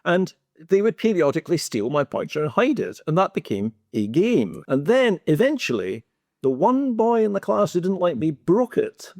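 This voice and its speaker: Animated wry man telling school stories. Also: a little high, normal speed, no room sound. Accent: Scottish. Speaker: podcast co-host, former teacher. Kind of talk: casual chat, storytelling.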